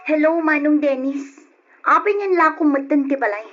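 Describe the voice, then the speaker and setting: A woman's voice: sits high, fairly quick, a bit bright, soft background music. A woman with an Ilocano accent playing a worried younger sister who pleads with her brother, in an old radio drama. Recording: dull lo-fi old radio recording.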